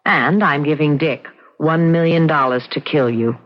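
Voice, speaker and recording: A woman's voice: deep voice, measured pace. An actress playing a vengeful young woman in a radio thriller, hard, mocking and commanding. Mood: cold vengeance. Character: vengeful, cold, mocking young town girl. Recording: muffled old radio drama recording.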